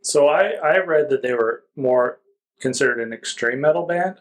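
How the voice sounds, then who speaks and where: A man's voice: medium pitch, moderate pace. A man reviewing music in a casual podcast chat, speaking informally and feeling his way through descriptions.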